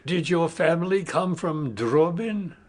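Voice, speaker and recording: An elderly retired man in a radio drama. He speaks slowly in a slightly high male voice, friendly and politely formal, with a dry, blunt streak. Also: expressive. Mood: friendly, curious. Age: older. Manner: slow, dryly blunt, formal address. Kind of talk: audio drama dialogue.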